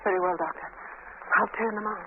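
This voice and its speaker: An actress playing an anxious, hesitant but obedient nurse in a 1930s radio drama. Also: female voice, mid-range voice, fairly even intonation, fast talker, close to an everyday voice. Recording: tinny, very noisy radio recording.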